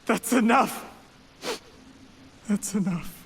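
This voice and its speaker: A man's voice: sits high, takes it slowly, gravelly, a bit bright, slight background noise, exaggerated voice. An actor playing an ambitious young male schemer in a video game, formal and persuasive in his self-justifying speech. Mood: distraught. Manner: formal, polite, persuasive phrasing. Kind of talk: dramatic video game voice acting.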